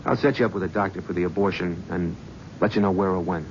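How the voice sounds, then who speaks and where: An actor playing a cynical young talent-agency gofer in a 1970s radio drama, narrating and talking in a brusque, flippant, wisecracking way. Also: male voice, sits low, fairly even intonation, fast talker, close to an everyday voice. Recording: muffled old radio broadcast, faint hiss.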